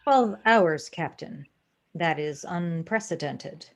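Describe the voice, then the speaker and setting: A woman's voice: lowish voice, expressive, slow, slight background noise. An actress playing a stern, logical female first officer in an audio drama, speaking formally and precisely, with reproof. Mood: disapproving.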